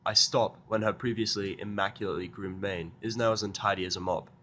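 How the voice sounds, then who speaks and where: A man's voice: on the low side, steady tone, fairly quick, bright, forceful tone. A man narrating a first-person fan fiction audio drama in a sardonic manner.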